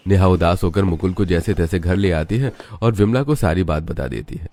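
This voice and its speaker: A man's voice: sits low, fairly quick, dark tone. A man reading scripted narration for a moral story.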